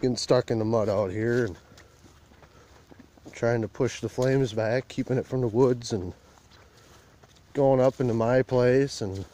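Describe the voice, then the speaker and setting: A man's voice: medium pitch, steady tone, measured pace, pauses often, warm tone. A man vlogging on a phone as he walks a burnt field outdoors, talking in a plain, rambling rural way. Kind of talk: casual walk-and-talk vlog. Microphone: handheld phone camera while walking.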